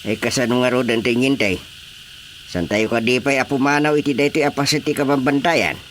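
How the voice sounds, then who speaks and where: A man's voice: mid-range voice, fairly even intonation, a little fast, fairly bright tone, slight background noise, close to an everyday voice. A man with an Ilocano accent playing a nervous, bumbling army sergeant in a comic radio drama, hesitant and exclaiming. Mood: uneasy, deferential. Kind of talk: radio drama comedy acting.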